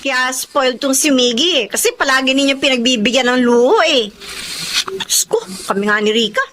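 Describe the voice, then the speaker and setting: Strict thirtyish eldest sister, melodrama acting, bright tone, scolding and pleading, slight background noise. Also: female voice, sits high, normal speed. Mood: exasperated. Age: 30s. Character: 30-year-old breadwinner eldest sister, exasperated and strict. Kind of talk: Filipino radio-style melodrama acting.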